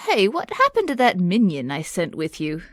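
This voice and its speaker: A woman's voice: fairly low pitch, wildly swooping pitch, normal speed, a bit bright, no room sound, studio quality. A woman playing a doting but callous alien empress in a parody audio drama, syrupy and menacing. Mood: cloying, doting.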